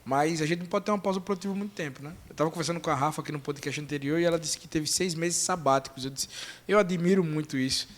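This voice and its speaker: A man hosting a casual podcast chat, lively and joking. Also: a little high. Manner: lively, joking, quick-talking. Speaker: podcast host.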